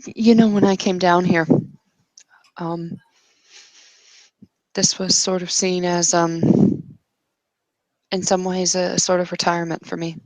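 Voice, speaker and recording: A woman playing a guarded, regretful young woman in a tabletop roleplay, confiding haltingly. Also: on the low side, level tone, dry recording, low-quality recording. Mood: wistful, confessional. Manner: halting, hesitant confessional delivery.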